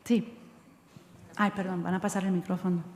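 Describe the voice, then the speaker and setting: A woman's voice: on the low side, little pitch movement, moderate pace, a little mellow, a little hiss or hum. A woman speaker answering audience questions after a talk, candid and jokey, mixing Spanish and English. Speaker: guest speaker. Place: lecture hall. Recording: long hall reverb.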